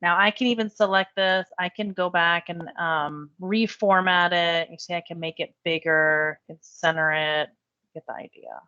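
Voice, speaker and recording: A woman giving a chatty step-by-step software tutorial while losing her voice. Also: fairly low pitch, fairly even intonation, moderate pace, clean tone, dry recording, lo-fi sound. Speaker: real estate software trainer. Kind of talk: screen-share software tutorial.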